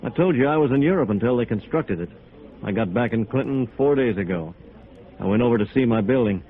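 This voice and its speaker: An American actor playing a bitter, resigned architect in a 1950s radio noir, terse and sardonic. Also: male voice, medium pitch, lively intonation, brisk, narrow, tinny audio, lightly acted. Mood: bitter, indignant. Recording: band-limited vintage radio recording.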